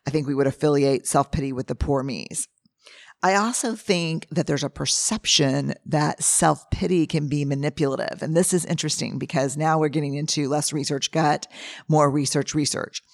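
A hoarse middle-aged woman, hosting a podcast and telling stories candidly, acting out what people say. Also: deep voice, normal speed, dry recording, clean studio sound. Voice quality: husky. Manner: candid, animated storytelling with acted-out quotes. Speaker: podcast host. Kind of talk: casual solo talk.

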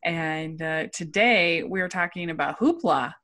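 Middle-aged woman, podcast host, chatty, lots of laughs and uhs, lowish voice. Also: animated, unhurried, a bit bright. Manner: chatty, laughs often, filler-heavy. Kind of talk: casual chat.

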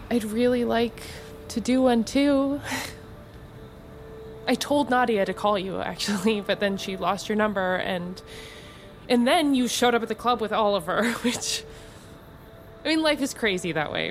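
An actress playing a breezy, quietly manipulative woman in a naturalistic audio drama, chatty, coy and insistent. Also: a little high, measured pace, pauses often, faint music behind.

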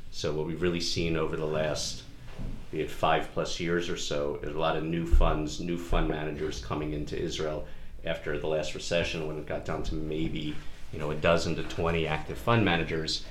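A man's voice: very deep voice, little pitch movement, moderate pace. A man co-hosting an interview, asking casual, rambling questions with evident interest. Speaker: co-host, interviewer. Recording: echoey room, faint background noise.

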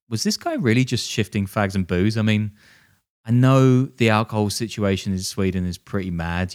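A man's voice: lowish voice, clear, resonant tone. Wry man telling a story. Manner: jokey, sardonic asides. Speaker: podcast host. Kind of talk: casual storytelling chat.

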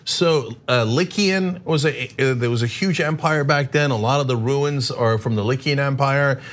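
A man who grew up in Turkey and New Jersey, hosting a podcast and telling stories in a lively way, acting out voices and laughing often. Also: medium pitch, normal speed. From Turkey, raised in New Jersey. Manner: animated storyteller, acts out quoted voices, laughs often. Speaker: podcast host. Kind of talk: casual chat.

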